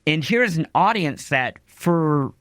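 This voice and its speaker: A man hosting an interview podcast, speaking conversationally in articulate, measured phrases. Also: fairly high pitch, animated, a bit bright, dry recording.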